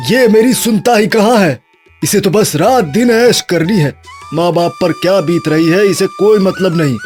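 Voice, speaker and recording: A man's voice: sits high, a little slow, a little mellow, soft background music. Man acting a weary, exasperated father. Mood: exasperated, resigned. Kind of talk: Hindi cartoon moral-story acting.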